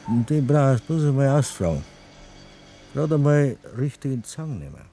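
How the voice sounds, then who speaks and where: A man's voice: medium pitch, sing-song, moderate pace, vocal fry, warm tone, soft background music. An actor playing a grumbling, insinuating village tradesman in a Bavarian dialect radio play. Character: grumbling, suspicious village tradesman spreading rumours.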